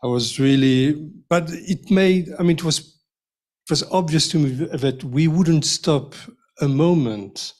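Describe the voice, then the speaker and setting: A man's voice: fairly high pitch, unhurried, a little mellow. A man speaks on a panel discussion in a hesitant way, often breaking off mid-thought. He laughs at himself easily as he explains things. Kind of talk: casual panel chat.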